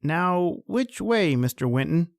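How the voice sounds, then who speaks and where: A man's voice: on the high side, lively intonation, slow, noisy background. A man playing a nervous, deferential manservant. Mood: anxious. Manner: anxious, obedient. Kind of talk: old-time radio horror acting.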